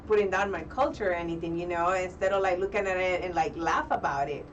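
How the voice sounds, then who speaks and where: A woman's voice: lowish voice, fairly even intonation, fast, muffled recording. Chatty Mexican woman on a distant mic. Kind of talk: casual chat. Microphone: internet video call. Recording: distant mic with faint noise.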